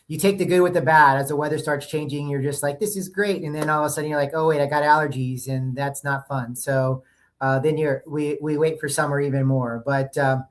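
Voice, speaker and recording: A man's voice: on the high side, fairly even intonation, fairly quick. A man from the United States hosting a podcast, chatting loosely with fillers before introducing his guest. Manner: friendly, loose, conversational with fillers. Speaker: podcast host. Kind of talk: casual podcast interview. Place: Texas, remote call.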